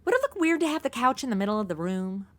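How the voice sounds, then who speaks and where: A woman's voice: fairly high pitch, big pitch swings, fast talker, a bit bright. A woman playing a flippant, ditzy modern young woman in a comedy audio drama, sarcastic and full of exclamations and slang.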